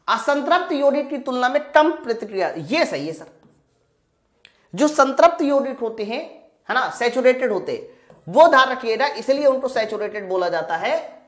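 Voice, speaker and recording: High, animated woman teacher. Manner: instructive, emphatic, prompts students with questions and repeats key points. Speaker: science teacher. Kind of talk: exam-prep class, working through practice questions aloud. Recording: roomy echo.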